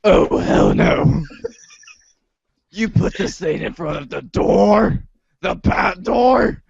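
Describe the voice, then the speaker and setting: A brooding masked hero in a comic storybook parody, gruff and melodramatic. The voice is gravelly and husky, drawn out slowly, on a dull, muffled recording. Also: male voice, high voice, wildly swooping pitch, a little mellow, no room sound, voice pushed up, full character voice. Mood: indignant. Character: brooding gravelly Batman-style boy hero, gruff and dramatic. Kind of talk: comic read-along theater impression.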